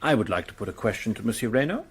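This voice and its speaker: An actor playing a brusque, accusing police detective in a radio drama, questioning formally and insistently. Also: male voice, on the low side, sing-song, very fast, breathy edge, exaggerated voice.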